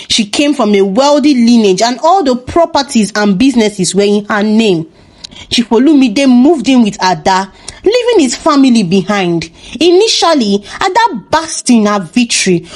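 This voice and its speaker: High-pitched woman narrating, lively intonation. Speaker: storyteller, narrator. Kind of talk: scripted folktale narration.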